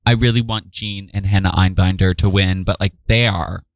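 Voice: sits low, normal speed, no room sound, studio quality. A co-host of a community radio show in casual chat, playful and bantering, with frequent ums. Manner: lively, playful banter, fillers like um. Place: radio studio.

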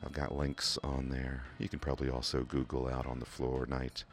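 A man with a Kentucky accent hosting a community radio music show from a studio, talking in a relaxed, rambling way with many "uh" fillers and restarts. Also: a bass voice, moderate pace. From England. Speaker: radio DJ host. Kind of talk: casual radio DJ talk. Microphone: studio microphone.

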